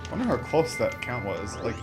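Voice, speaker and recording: A man's voice: mid-range voice, very animated, normal speed. A young man giving casual, rambling gameplay commentary with informal, mock-exasperated asides. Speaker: gamer, Let's Play host. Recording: game music behind the voice. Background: video game music.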